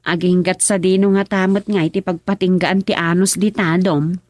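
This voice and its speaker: A woman's voice: on the low side, steady tone, normal speed, no room sound, natural voice. A woman playing a sympathetic, concerned young village wife in a rural comedy radio drama.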